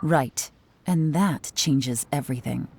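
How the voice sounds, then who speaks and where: An actress playing a composed, shrewd woman investigator in a video game, speaking in a deliberate, knowing, persuasive way. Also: extremely low pitch, darkish tone, slight background noise, heavily acted. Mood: shrewd, analytical. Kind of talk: scripted video game voice acting.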